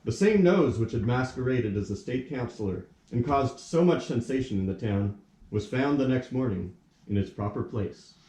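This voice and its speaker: A man narrates a puppet-theatre tale in a mellow, medium-pitched voice. His storytelling is formal and measured, like a storybook read aloud, in a room with plenty of echo. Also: lively intonation. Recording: echoey room.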